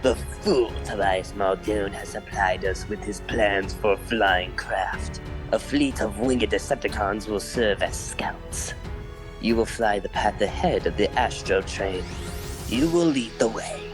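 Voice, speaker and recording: A man's voice: lowish voice, takes it slowly, over music. A man voicing a cold, scheming robot villain commander with a formal, imperious delivery in a comic dub. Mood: scheming, commanding.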